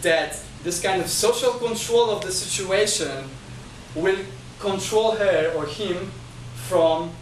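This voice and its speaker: A man's voice: high voice, slow, a little hiss or hum. A man giving a talk at a discussion group, working through numbered points with frequent restarts and repeated words. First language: not Chinese. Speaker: guest speaker. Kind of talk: lecture-style talk. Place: talk venue, indoors. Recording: echoey room, mic at a distance.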